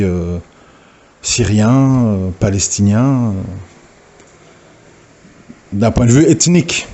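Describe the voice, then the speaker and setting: A man's voice: lowish voice, dark tone. A man giving an informal religious talk, hesitant and full of fillers and restarts as he lectures. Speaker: religious preacher. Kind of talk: informal recorded audio talk.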